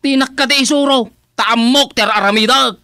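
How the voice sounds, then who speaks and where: A woman's voice: high-pitched, moderate pace, pushed and bright, dry recording, studio quality. A woman with an Ilocano accent voicing a stubborn, hard-drinking male village councilor in a radio drama. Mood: defiant.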